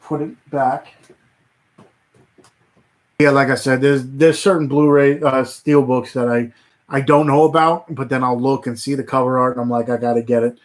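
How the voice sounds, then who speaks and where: A man chatting on a casual livestream at an ordinary pitch, drifting from thought to thought with plenty of fillers and false starts. His voice is wearing thin and turning hoarse. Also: little pitch movement, normal speed. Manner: rambling, many fillers and restarts. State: voice getting hoarse. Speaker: livestream host.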